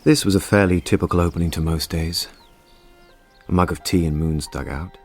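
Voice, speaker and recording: An actor playing a wry, weary war veteran, reflective and polite, in a radio drama. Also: male voice, sits low, moderate pace, a little mellow, soft background music.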